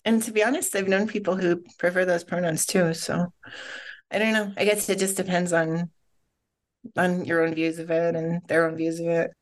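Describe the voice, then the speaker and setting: A woman's voice: on the low side, little pitch movement, moderate pace. Giggly, chatty woman author. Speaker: guest author. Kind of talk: casual interview.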